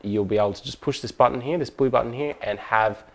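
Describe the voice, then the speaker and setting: A man's voice: medium pitch, fast. A man presenting a hands-on product tutorial in an informal, methodical, step-by-step way.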